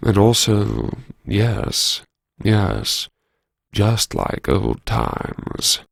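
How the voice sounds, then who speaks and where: Casting: male actor as wry, haunted vice-chancellor for audiobook monologue; low-pitched, expressive swings, brooding self-address. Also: drawn-out words, creaky, no echo, studio quality, heavily acted. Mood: wry, musing. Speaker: audiobook performer. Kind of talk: dramatic first-person interior monologue.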